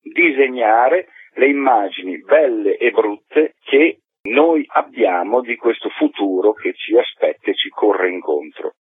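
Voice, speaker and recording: A man's voice: lowish voice, expressive, a little slow, slightly rough, dry recording. A man being interviewed by phone as a theatre maker, explaining his work in a reflective, articulate way over a tinny, muffled line. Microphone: telephone.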